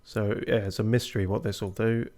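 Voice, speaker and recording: A man's voice: on the low side, a little fast, crowd noise. A man hosting a gaming video in casual, chatty commentary, his voice sometimes breaking. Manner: relaxed, chatty, reads viewer comments aloud. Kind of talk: casual let's-play commentary.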